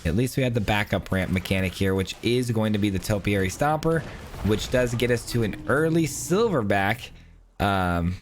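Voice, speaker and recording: A man giving casual, upbeat commentary while playing a card game, thinking aloud, his voice hoarse as he loses it. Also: on the low side, lively intonation, normal speed, a little hiss or hum. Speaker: gaming channel host. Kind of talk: casual live gameplay commentary.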